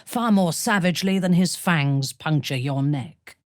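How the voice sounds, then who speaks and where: A woman playing a ruthless dark-elf warrior priestess in a video game, speaking with formal, imperious menace. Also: deep voice, measured pace, no echo, studio quality. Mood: stern, commanding. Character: ruthless, commanding female dark-elf warrior priestess, coldly devoted lover. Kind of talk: video game character dialogue.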